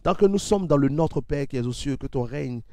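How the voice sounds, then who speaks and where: A man preaching fervently on a livestream in a steady repetitive cadence, with a head cold. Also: mid-range voice, fairly quick, no room sound, clean studio sound. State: has a cold. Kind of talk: sermon with sung worship.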